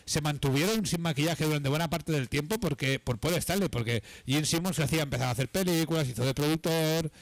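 Man, measured radio co-host. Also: on the high side, no echo. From Catalonia. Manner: measured, agreeable, explains at length. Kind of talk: casual radio chat. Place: radio studio.

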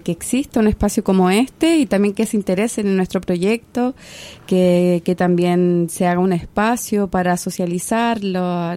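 A Chilean woman in her thirties speaks as a guest on a podcast. Her voice is mellow and low in brightness. She talks in an earnest, measured way. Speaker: guest, researcher. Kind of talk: podcast interview.

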